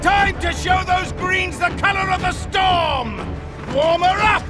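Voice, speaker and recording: An actor playing a male battle commander who shouts orders to his troops. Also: very high-pitched, takes it slowly. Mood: commanding. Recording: loud battle music behind.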